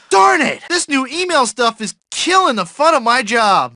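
A man's voice: extremely high pitch, bright, forceful tone, dry recording, studio quality, heavily acted. An actor playing a self-important, gossipy mailman in a video game, with a folksy, whiny, blustering manner. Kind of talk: video game character barks and chatter.

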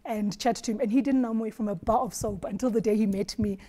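A woman from Eswatini on a studio panel, telling stories in an animated way and laughing often, recorded on a lapel mic. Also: medium pitch, fast talker. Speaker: marketing executive panelist. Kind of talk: roundtable discussion. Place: dark studio set at a round table.

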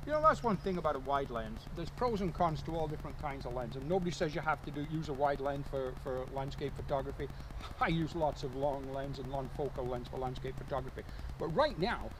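An older man talking casually to camera outdoors, musing with pauses and repeating words for emphasis. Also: fairly high pitch. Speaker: landscape photographer, vlogger. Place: outdoors in woods and open field. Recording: outdoor ambient noise.

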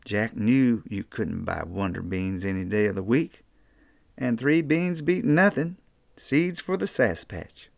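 An older man with an Appalachian accent, reading a children's storybook aloud in an unhurried, folksy way. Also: on the low side, expressive, creaky, dry recording, studio quality. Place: small book-lined room indoors.

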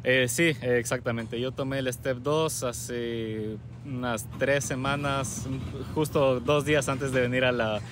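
A young man from Ecuador, answering interview questions in a calm, measured way with frequent pauses. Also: medium pitch, background noise. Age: young adult. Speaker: guest, recent medical graduate. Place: outdoors on a university campus plaza with trees.

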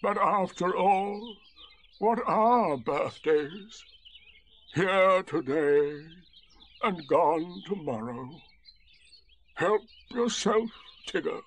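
Gloomy, drawling, high-pitched donkey. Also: male voice, lively intonation, a little hiss or hum, full character voice. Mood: self-pitying. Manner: slow drawl, mournful, sardonic. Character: Eeyore, gloomy sarcastic male donkey. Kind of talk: storybook character voice.

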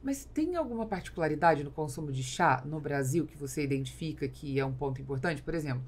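A woman's voice: low-pitched, lively intonation, fast, husky. A woman in her forties with a Brazilian accent, hosting a conversational business interview at a close studio mic. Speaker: podcast host. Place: small foam-treated podcast studio. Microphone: studio mic on boom arm, close.

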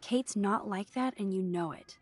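A woman plays a shy, soft-spoken teenage girl in a story game. Her breathy, husky voice carries dry sarcasm. The studio sound is close and dry. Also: medium pitch, moderate pace, dry recording, natural voice. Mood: wry. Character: shy, wry teen girl photographer. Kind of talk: video game voice acting.

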